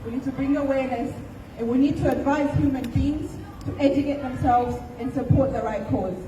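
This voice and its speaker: Woman rally speaker from Ethiopia, pained and emphatic, high pitch, heard from afar. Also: brisk, highs cut off, a crowd in the background, background noise. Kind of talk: impassioned protest speech. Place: outdoor rally. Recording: distant, echoey outdoor recording.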